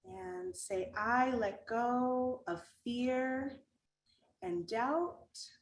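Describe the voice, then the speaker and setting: A woman leading a guided meditation in a calm, gentle manner, giving unhurried instructions with long pauses. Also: high-pitched, vocal fry. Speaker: meditation guide and art teacher. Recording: roomy echo with music underneath.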